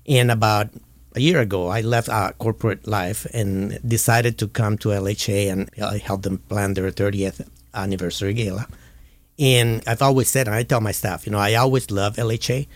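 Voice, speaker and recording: Low-voiced earnest Puerto Rican-born man. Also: steady tone, moderate pace, no room sound. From Puerto Rico. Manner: earnest, repeats words when starting sentences. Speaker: guest, nonprofit development and communications officer. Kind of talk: casual interview conversation.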